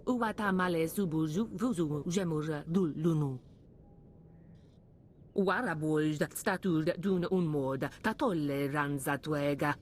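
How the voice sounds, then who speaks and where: Alien official, acted, medium-pitched voice, video game dialogue, light background noise. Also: a little slow, lightly acted.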